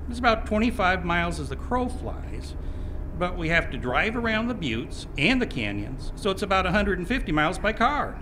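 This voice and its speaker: Chatty, oblivious small-town reporter, male, acted by a man; high, lively voice. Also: moderate pace. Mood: eager. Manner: rambling and eager, cheerfully oblivious. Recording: noticeable background hiss.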